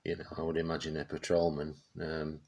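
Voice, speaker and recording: Man, extremely low-pitched voice, flat intonation, halting casual demo talk, unscripted. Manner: halting, searching for words. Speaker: presenter showing vintage radio gear. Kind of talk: casual unscripted product demonstration.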